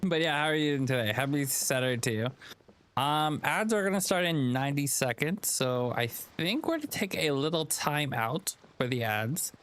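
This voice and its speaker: A man's voice: fairly high pitch, animated, moderate pace, fairly bright tone, clear, resonant tone. A man streaming a game live, giving casual, rambling commentary as he thinks aloud and chats.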